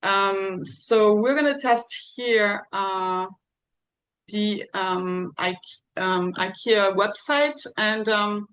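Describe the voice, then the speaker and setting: A woman presenting a tool over a video call, hesitant and filling pauses with ums and apologies. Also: mid-range voice, a bit bright, rough recording. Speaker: conference presenter. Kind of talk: live online tech demo.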